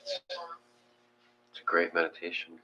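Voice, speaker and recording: A man in a casual, reflective discussion, thinking aloud in a halting way and trailing off. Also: low voice, wildly swooping pitch, sharp tone. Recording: muffled, with faint hum.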